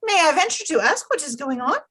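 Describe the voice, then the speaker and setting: A woman's voice: high-pitched, sing-song, quick pace, a bit bright. A woman acting as a sweet, fearful young queen in an audio play, speaking in a formal, deferential, anxious way. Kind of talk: theatrical audio drama acting.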